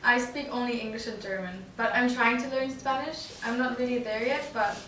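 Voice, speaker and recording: A woman's voice: a little high, steady tone, brisk, bright, forceful tone. A teenage girl, a student debater, answering tentatively. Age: teens. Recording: echoey room, distant mic, noise.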